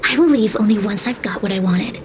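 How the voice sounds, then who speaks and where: A woman's voice: medium pitch, brisk, slight background noise, natural voice. A woman playing a smug predatory demon spirit in a video game, languid, gloating and menacing. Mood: gloating, sinister. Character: smug predatory female demon spirit savouring human sorrow. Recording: loud music behind the voice.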